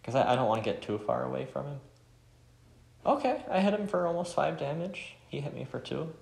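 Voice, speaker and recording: A man's voice: mid-range voice, big pitch swings, a little fast, vocal fry, darkish tone. A man giving casual commentary as he plays a game. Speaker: gamer playing a visual novel. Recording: roomy sound, faint music behind.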